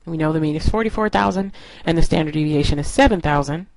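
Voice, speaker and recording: A woman's voice: low voice, fast, darkish tone, no echo. A woman instructor narrating a lesson in an explanatory, step-by-step way. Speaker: statistics instructor.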